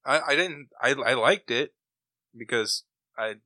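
A man's voice: medium pitch, a little slow, sharp tone, no room sound, studio quality. A man chatting casually as a panelist on a podcast. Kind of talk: casual chat.

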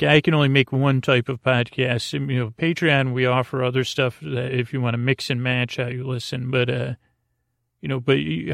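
A man hosting a bedtime podcast in a gentle, reassuring, halting monologue that meanders and over-explains, with a creaky voice. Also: medium pitch, no room sound, studio quality. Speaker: podcast host.

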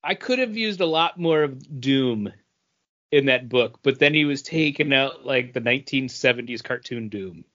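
A man's voice: mid-range voice, expressive, normal speed, a bit bright, lo-fi sound. A man co-hosting a podcast with casual, conversational talk. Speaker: podcast host. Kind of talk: casual chat.

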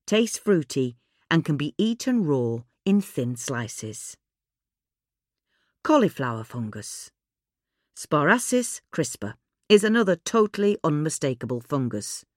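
A woman reading a magazine article aloud in a steady, clear, instructive way. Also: low-pitched, dry recording, clean studio sound. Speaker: reader.

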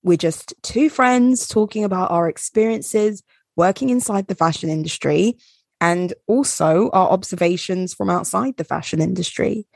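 A woman's voice: fairly low pitch, moderate pace, vocal fry, dry recording, studio quality. A young woman co-hosting a podcast in casual chat, rambling with lots of fillers. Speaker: podcast co-host.

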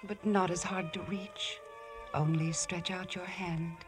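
A woman plays a gentle, devoted young villager in love. It is vintage radio acting, with prominent music behind the voice. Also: on the low side, steady tone, unhurried, natural voice. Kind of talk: 1950s radio drama acting. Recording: vintage radio broadcast under loud music.

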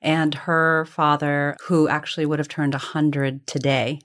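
A woman's voice: low-pitched, level tone, measured pace, fairly bright tone. A woman being interviewed, telling personal stories conversationally in a reflective, discursive way, often qualifying and correcting herself. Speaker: interview guest.

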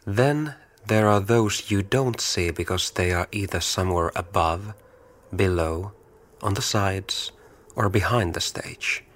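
Slow, low-voiced male narrator. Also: a bit bright. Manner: slow, measured, with whispered phrases. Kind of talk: scripted poetic narration.

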